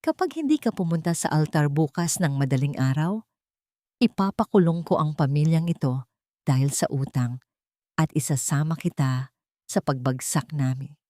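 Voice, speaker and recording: A cruel older matriarch played by a woman narrator in an audiobook melodrama, with a Filipino accent. She is low and commanding, pressing out threats in a dry, close recording. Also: moderate pace, mellow, no room sound, natural voice. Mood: menacing. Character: cruel, greedy older aunt, domineering rich matriarch.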